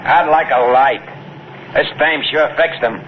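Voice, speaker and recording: An actor playing a cold, curt, hard-boiled criminal with slangy talk in a 1950s radio space thriller. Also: male voice, on the high side, a little slow, natural voice. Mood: sardonic. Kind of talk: 1950s radio drama acting. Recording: muffled, lightly noisy old radio broadcast.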